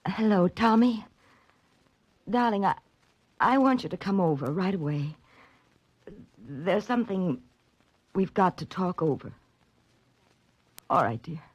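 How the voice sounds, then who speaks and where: A secretive American woman in her thirties in a 1940s radio drama. The voice is husky and dry, swinging widely as she pleads. Her delivery is halting, anguished and melodramatic. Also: fairly low pitch, animated, no room sound, full character voice. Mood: tense, tender. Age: 30s. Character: anguished, secretive 35-year-old daughter of a convict.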